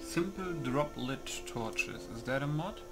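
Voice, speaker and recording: Slow, creaky-voiced man muttering through game settings. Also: mid-range voice, animated, music in the background. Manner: muttering to himself, casual swearing. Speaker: gamer recording a mod setup. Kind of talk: casual game commentary, reading settings aloud.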